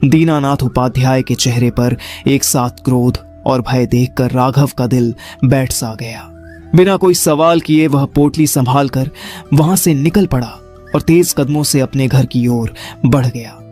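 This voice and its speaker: A man narrating a scripted horror story in a dramatic, deliberate storytelling manner. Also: mid-range voice, a little mellow, faint music behind.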